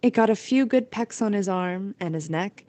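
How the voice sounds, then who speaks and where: A woman's voice: mid-range voice, animated, normal speed, warm tone, no echo. A woman reading scripted story narration as the narrator of an amateur audio drama.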